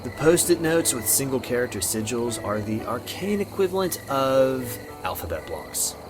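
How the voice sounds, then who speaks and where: A man's voice: mid-range voice, big pitch swings, moderate pace, music in the background, lightly acted. A man playing a goofy, easygoing young friend in a visual novel game, talking playfully and casually. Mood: teasing, casual. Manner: playful, casual bro-speak.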